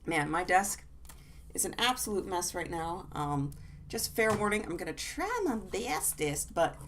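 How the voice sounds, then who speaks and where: A husky woman co-hosting a podcast, chatting casually from her desk with many fillers and restarts while fighting a cough. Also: lowish voice, animated, measured pace, a bit bright, slight background noise. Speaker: podcast co-host. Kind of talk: casual chat. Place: home desk.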